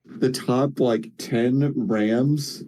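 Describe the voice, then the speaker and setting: A man chatting casually about video games in a halting, slangy way, swearing freely. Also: mid-range voice, fairly even intonation, dark tone, poor audio.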